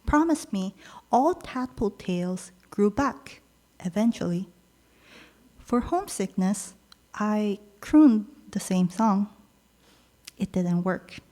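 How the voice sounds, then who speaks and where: Brief: woman poet, Korean native, reading her verse slowly, mid-range pitch. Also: animated. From Peru. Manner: slow, measured delivery with soft pauses between lines. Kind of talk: poetry reading.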